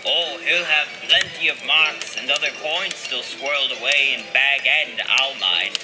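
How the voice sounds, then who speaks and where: An actor playing a grumbling, suspicious hobbit miller in an audio play, carping and insinuating like a spiteful village gossip. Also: male voice, medium pitch, unhurried, music in the background, lightly acted. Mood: disapproving. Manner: carping, insinuating, rustic phrasing. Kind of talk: dramatized audio play dialogue.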